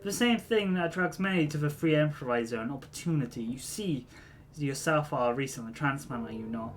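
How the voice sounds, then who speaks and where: A man voicing a weary middle-aged male drone engineer, reading game dialogue aloud in a measured, formal, slightly halting way. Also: a little high, close to an everyday voice.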